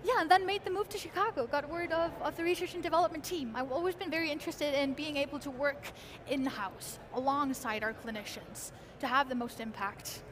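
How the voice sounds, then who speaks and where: A young woman with an Icelandic accent explains her work eagerly and clearly. Her voice sits high and light. She is recorded on a lapel mic at a busy convention hall booth. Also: a little fast, background noise. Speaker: guest, research engineer. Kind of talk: conversational interview.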